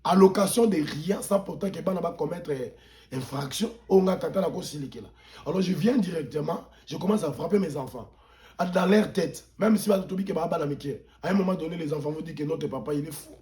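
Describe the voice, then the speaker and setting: A man with a Congolese accent and a soft-edged, mellow voice pitched a little high. He speaks as a pastor turned political commentator, indignant and emphatic. He repeats words to drive points home and slips between languages mid-sentence. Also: fairly quick. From Democratic Republic of the Congo. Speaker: pastor, interview guest. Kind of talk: impassioned political commentary in an interview.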